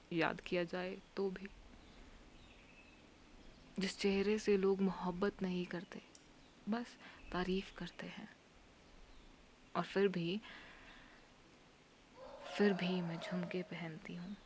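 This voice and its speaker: Woman, poetic monologue, very slow pace, intimate breathy hush, mid pitch, ambient background noise. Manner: intimate, hushed, often breathy delivery with long pauses. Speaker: monologue performer. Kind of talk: poetic spoken-word monologue.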